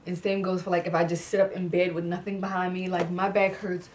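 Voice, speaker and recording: A young woman talks to her camera in a relaxed, chatty vlog, with a slightly low voice and a stuffy, congested nose. She often slips in "like" and little laughs. The mic sits a bit away from her, so she sounds slightly distant. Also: brisk, a little hiss or hum. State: congested, clears throat. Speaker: vlogger. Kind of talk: casual pregnancy update vlog. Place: indoor room with fairy lights.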